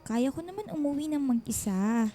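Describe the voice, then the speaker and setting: A woman's voice: high voice, expressive, moderate pace, mellow, soft background music. An actress playing a grateful, hesitant single mother in a Filipino radio drama, pleading and emotional. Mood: gentle, reluctant.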